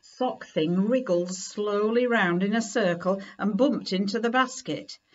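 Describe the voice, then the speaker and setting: A middle-aged woman reading a children's picture book aloud in a deliberate, expressive way at home. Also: medium pitch, dull audio. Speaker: story reader. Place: living room at home, indoors.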